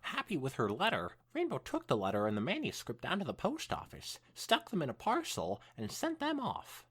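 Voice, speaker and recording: Very animated podcast story reader. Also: medium pitch, moderate pace, breathy edge. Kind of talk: animated fan fiction narration with casual asides.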